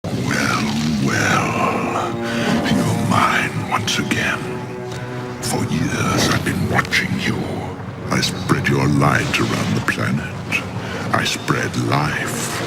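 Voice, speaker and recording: An actor playing an ancient, brooding cartoon villain, bitter and possessive, with a growling, drawn-out ominous delivery. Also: male voice, rumbling low, heavily acted. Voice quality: gravelly, growling. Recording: loud music behind the voice.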